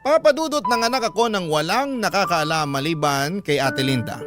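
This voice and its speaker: A man's voice: high-pitched, expressive, moderate pace. A man with a Filipino accent reading a scripted first-person story as the narrator of a radio drama. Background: background music.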